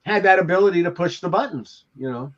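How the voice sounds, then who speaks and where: A man's voice: high voice, expressive, quick pace. An older man in his seventies reminiscing casually on a video call through a headset. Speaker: show regular, former TV producer. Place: home room, video call. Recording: dull lo-fi video-call audio.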